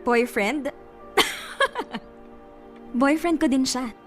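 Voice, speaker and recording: An actress playing a heartbroken young woman in a radio drama, speaking steadily and with quiet edge. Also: high-pitched, drawn-out words, soft background music. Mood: wounded, restrained. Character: heartbroken young woman, calm but wounded. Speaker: lead character.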